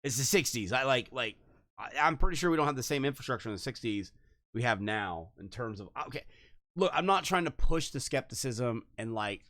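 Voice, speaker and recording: A man's voice: mid-range voice, animated, a little fast, a bit bright, no room sound, studio quality. A man co-hosting a podcast, chatting casually, skeptical and joking as he keeps urging his co-host to go on. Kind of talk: casual chat.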